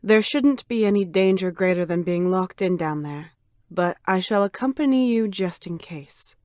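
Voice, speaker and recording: A woman voicing a composed, cautious wand-wielding companion in a fan dub, speaking in a measured, formal, reserved way. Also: fairly low pitch, a little mellow, no room sound, clean studio sound, natural voice.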